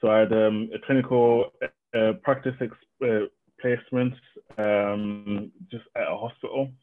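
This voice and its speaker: A man's voice: on the low side, level tone, unhurried. A man from Zimbabwe, a guest on a video-call podcast, answering with many hesitations and restarts. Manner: hesitant, full of ums and restarts. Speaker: guest occupational therapist. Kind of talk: casual interview answer. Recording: tinny phone-like call audio.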